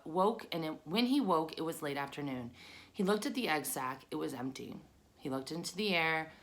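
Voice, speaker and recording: A woman's voice: low voice, a bit bright. A woman narrating a children's book as she reads it aloud. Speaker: storybook reader.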